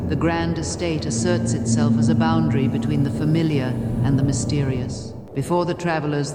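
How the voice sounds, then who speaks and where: Casting: formal, solemn storyteller, medium pitch, ornate scripted narration, long hall reverb. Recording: echoey reverb, loud background noise.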